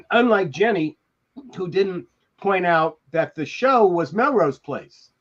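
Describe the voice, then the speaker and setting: Older man for panel reminiscence: a touch high-pitched, emphatic and rambling, on a dull-sounding call from home. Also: expressive. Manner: digressive, halting, emphatic. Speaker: podcast host, former TV producer. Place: home room, video call. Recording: dull, muffled video call audio.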